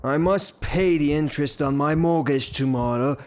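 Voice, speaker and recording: An actor playing a blustering, lovestruck, hot-tempered gentleman landowner in an audio drama, with a halting, theatrical delivery. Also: on the high side, close to an everyday voice. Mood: exasperated. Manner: theatrical, halting, repeats himself.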